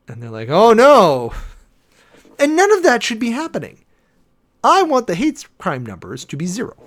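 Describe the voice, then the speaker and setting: A man reading aloud dramatically and breaking off into informal, opinionated commentary, with an emphatic, theatrical manner and frequent asides. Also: mid-range voice. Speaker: host, preacher giving commentary.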